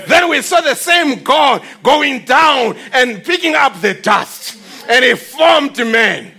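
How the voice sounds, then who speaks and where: A man preaches with great fervor in a very high-pitched voice. It has a gravelly grain and a sharp, forceful edge. He declaims in short, emphatic phrases punctuated by exclamations. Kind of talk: fervent, declamatory sermon.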